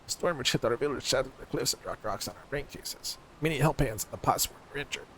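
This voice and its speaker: A man doing a creaky, word-mangling goblin villager. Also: mid-range voice, lively intonation, quick pace, bright, forceful tone, faint music behind. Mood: earnest, troubled. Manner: garbled, oddly phrased speech with doubled words. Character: folksy small goblin-like villager who mangles words. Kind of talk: reading game dialogue aloud in character.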